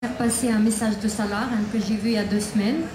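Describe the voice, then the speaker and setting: A woman speaking at a festival debate about her imprisoned son, talking haltingly with many pauses and restarts. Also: on the high side, fairly even intonation, background noise. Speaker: prisoner's mother, invited speaker. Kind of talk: public talk at a debate. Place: outdoor festival debate tent. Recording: echoey PA with crowd ambience.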